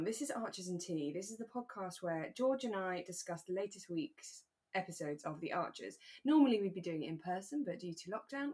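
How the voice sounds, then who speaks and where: A British woman co-hosting a podcast in relaxed, casual chat, chatty and self-deprecating. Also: on the low side. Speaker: podcast co-host. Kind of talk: relaxed casual chat about a radio drama.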